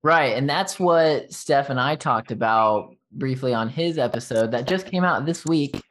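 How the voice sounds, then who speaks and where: A man's voice: mid-range voice, measured pace, fairly bright tone. A man from Texas with a light Texan accent, hosting a casual interview and rambling easily with fillers and slang. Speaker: podcast host.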